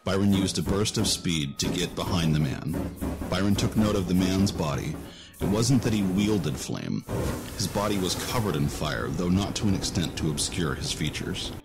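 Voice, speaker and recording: A man's voice: deep voice, expressive, moderate pace. A man reading scripted narration for a fantasy adventure story. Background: music.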